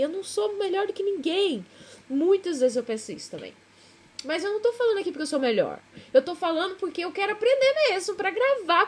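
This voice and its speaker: A Brazilian woman with a Brazilian accent, chatting casually in a rambling devotional vlog, correcting herself and laughing at herself. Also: high-pitched. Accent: Brazilian Portuguese.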